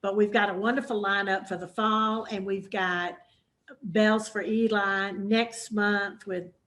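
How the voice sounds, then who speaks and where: A woman with a Southern accent runs the book program in a relaxed, chatty way. Her pitch sits mid-range, and she fills her pauses with plenty of "uh" and "um.". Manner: chatty, with many fillers. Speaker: moderator of a women's book program. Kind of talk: hosting a book talk. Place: hybrid in-person and Zoom event.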